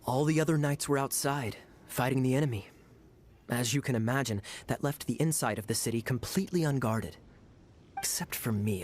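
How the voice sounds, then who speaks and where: A man's voice: medium pitch, slight background noise, lightly acted. Man playing a suave, teasing knight captain. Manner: teasing, drawling, theatrical. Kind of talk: video game voice acting.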